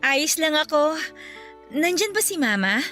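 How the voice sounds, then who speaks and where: A woman's voice: sits high, very animated, measured pace, pushed and bright, soft background music, heavily acted. A woman playing an earnest, hurt grown daughter who pleads emotionally with her mother in a Filipino radio drama. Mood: gentle, concerned.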